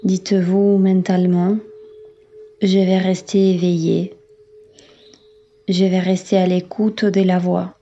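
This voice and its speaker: A woman yoga instructor leading a guided relaxation in a calm, soothing, unhurried manner with long pauses. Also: on the low side, highs cut off, faint music behind. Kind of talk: guided meditation, yoga nidra.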